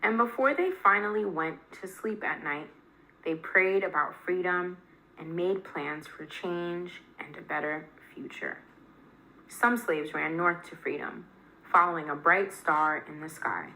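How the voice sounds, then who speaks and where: A woman's voice: on the low side, unhurried, bright, forceful tone, low-quality recording. A woman reading a children's picture book aloud as a storyteller.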